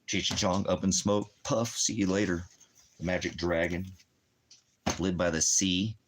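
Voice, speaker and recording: Deep-voiced man teaching on a livestream. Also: steady tone, measured pace, a little hiss or hum. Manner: blunt, challenging, asks and answers his own questions. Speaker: ministry teacher and livestream host. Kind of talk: rambling livestream Bible teaching and chat with commenters. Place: indoors at home by a fireplace.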